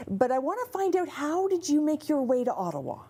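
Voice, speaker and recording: A woman's voice: sits high, very animated, a little fast, dark tone. A middle-aged woman hosting a TV interview show in a studio, talking in a bubbly, effusive way. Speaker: TV host.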